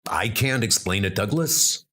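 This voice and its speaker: A man playing a haunted war veteran who leads knights in a comic adventure, speaking gravely. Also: on the low side, expressive, measured pace, pushed and bright, heavily acted.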